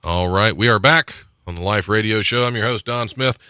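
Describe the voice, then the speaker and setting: A man's voice: on the low side, moderate pace, music in the background. An American man hosting a radio show with casual, rambling, self-deprecating comedy talk. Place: studio.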